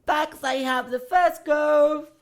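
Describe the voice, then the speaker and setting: A woman voicing a group of excited young children in a very high, squealing voice. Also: slow pace.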